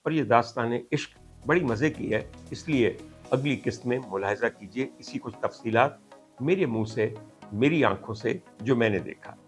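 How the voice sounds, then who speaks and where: A man's voice: medium pitch, moderate pace, faint music behind. A middle-aged or older man with a Pakistani accent, telling stories to viewers in a courtly, rhetorical, dramatic way as a host. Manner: rhetorical, courtly and dramatic phrasing, switches into English and Punjabi. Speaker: host, doctor. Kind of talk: storytelling monologue to viewers.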